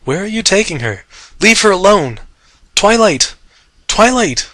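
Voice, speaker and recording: A man voicing a small young male dragon in a story, high-voiced and desperate with grief. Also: very animated, measured pace, a bit bright, dry recording, heavily acted. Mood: anguished rage. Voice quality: high, shrieking.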